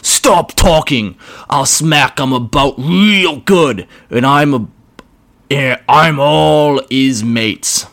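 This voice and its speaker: A hulking, short-tempered orc warlord, put on by a man reading a story aloud. He drawls his crude slang slowly and loudly, with a gruff, swaggering bark. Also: mid-range voice, voice pushed up. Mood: furious. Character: huge angry brutish male orc boss.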